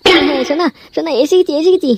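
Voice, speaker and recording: A woman voicing a cheeky, foul-mouthed, lovestruck young man in a comedy cartoon, whiny, excitable and crude. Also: high voice, fast, no echo. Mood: eager, coaxing. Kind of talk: amateur comedy cartoon dubbing. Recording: clearly noisy homemade cartoon audio.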